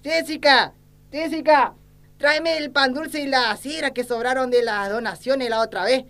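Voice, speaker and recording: A woman's voice: high-pitched, fairly even intonation, unhurried, fairly bright tone. A woman playing a crooked, lecherous, ignorant old small-town politician, with an Argentine accent and folksy, blustering talk in an amateur satirical sketch. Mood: confused, dismissive.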